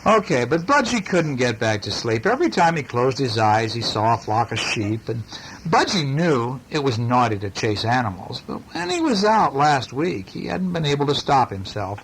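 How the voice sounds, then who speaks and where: Male, middle-aged dad, mid-range voice, gentle and playful, bedtime chat and reading, slight noise on a home recording. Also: medium pitch, very animated, normal speed. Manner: gentle, playful, asks tag questions. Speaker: father. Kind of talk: casual chat and bedtime story reading to a child. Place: at home, lying down beside a child. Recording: noisy amateur home recording.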